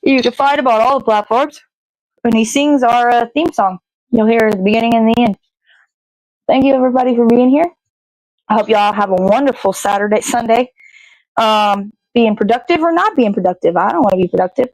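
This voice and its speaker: A woman's voice: fairly high pitch, a little fast, darkish tone, no echo, rough recording. A woman hosting a casual puppet show livestream, chatting in an informal, rambling way.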